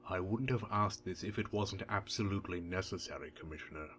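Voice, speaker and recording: A man plays a morgue coroner in an audio drama. His voice is on the low side, and he explains things formally, deferential and a little uneasy. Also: fairly quick, a bit bright, husky. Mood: formal, grave. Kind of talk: fan audio drama acting.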